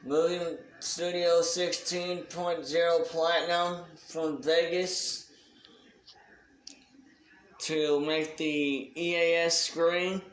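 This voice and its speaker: A man giving a casual, unscripted shout-out announcement as a hobby video creator, talking in a halting, deliberate way. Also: on the high side, flat delivery, echoey room, slight background noise, rough recording.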